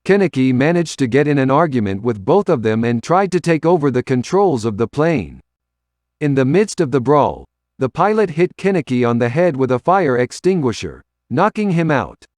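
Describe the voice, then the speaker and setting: A man's voice: medium pitch, sing-song, normal speed, darkish tone, dry recording, clean studio sound. An American man giving scripted documentary narration for a local history program.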